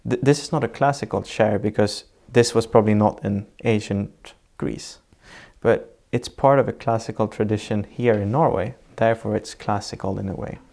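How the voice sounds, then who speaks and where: A Swedish-accented man with a low, gravelly voice talks through his ideas in an interview. He is measured and reflective, building up definitions one point at a time as he answers. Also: darkish tone. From Sweden. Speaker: architect guest. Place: sitting indoors in Norway.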